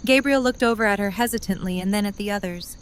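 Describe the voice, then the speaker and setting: A woman's voice: medium pitch, fast, slight background noise. A woman reading scripted story narration for an audio drama.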